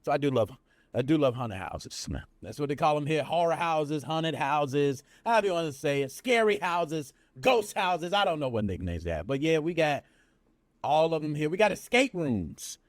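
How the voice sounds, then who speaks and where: A man hosting a casual podcast chat, slangy and animated, often asking "you know what I mean". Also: fairly high pitch, moderate pace, no echo. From United States. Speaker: podcast host.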